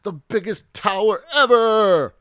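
A man's voice: medium pitch, very animated, slow, fairly bright tone, no echo, clean studio sound, voice pushed up, exaggerated voice. A man voicing a greedy, bratty young male dinosaur in a children's storybook, wailing and possessive. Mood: boastful. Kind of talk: children's picture book read aloud.

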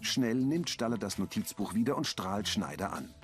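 A man with a lowish voice reads audio description in a calm, neutral way. Clear soundtrack music plays under his voice. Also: moderate pace.

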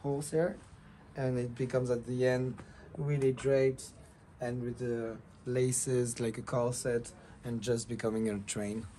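A man's voice: mid-range voice, little pitch movement, unhurried, dark tone, a little hiss or hum. A young man with a French accent explaining things casually as a designer in a showroom, phrasing his English hesitantly but with enthusiasm. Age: young adult. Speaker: fashion designer presenting a collection.

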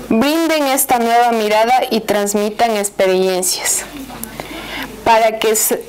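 A woman delivering prepared formal conclusions in a measured way at a panel. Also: fairly high pitch, poor audio. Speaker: panel speaker.

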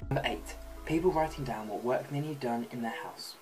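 A young man hosts a comedic list video from a bedroom. His voice is medium in pitch, picked up by a camera at arm's length, with music clearly playing behind him. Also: a little fast. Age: young adult. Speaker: video host. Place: small bedroom.

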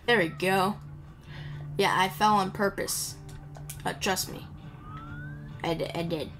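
Game music plays behind a woman with a mid-pitched voice. She gives casual, off-the-cuff gameplay commentary. Her manner is rambling and playful, as if chatting with both viewers and the characters. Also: fairly even intonation, slow. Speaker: gaming video creator. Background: video game music.

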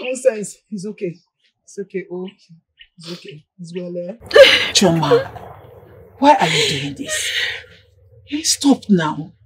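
A woman's voice: fairly high pitch, wildly swooping pitch, slow pace, long pauses, breathy edge, dry recording, full character voice, poor audio. An actress playing a middle-aged mother with a Nigerian accent who scolds and then soothes her heartbroken daughter with pleading repetitions. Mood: soothing. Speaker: mother of the heroine. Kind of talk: film drama acting.

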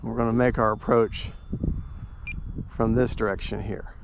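A man's voice: lowish voice, little pitch movement, a little slow, vocal fry. A man giving casual, rambling, folksy running commentary as he flies a model plane outdoors, repeating himself as he goes. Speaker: RC plane pilot and vlogger. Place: outdoors at an open model airfield. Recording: muffled outdoor recording, loud wind noise.

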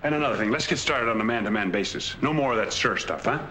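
An actor playing a wealthy, lonely middle-aged man in a 1940s film, polite, gentle and well-spoken. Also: medium pitch, fast talker, close to an everyday voice. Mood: earnest. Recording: hissy 1940s film soundtrack.

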